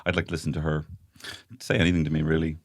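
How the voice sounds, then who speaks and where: A man's voice: very deep voice, level tone, very fast. A man co-hosting a podcast, chatting casually with wry, joking asides. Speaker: podcast co-host. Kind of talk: casual chat.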